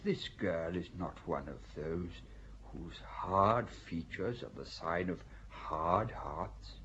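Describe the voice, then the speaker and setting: An actor playing a stern elderly male inquisitor who lectures a court in a solemn, measured, sermon-like way. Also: low-pitched, slight background noise. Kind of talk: audio drama acting. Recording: dull lo-fi recording.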